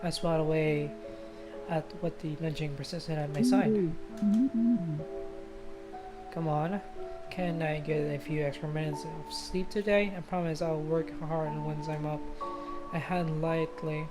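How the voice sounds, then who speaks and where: A woman's voice: low voice, fairly even intonation, a little slow, long pauses. A woman livestreaming a game, reading story narration aloud and breaking off into informal asides, sometimes stumbling over words. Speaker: game streamer. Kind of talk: livestream let's play, reading visual novel narration aloud with casual asides. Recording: loud music under the voice. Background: loud game music.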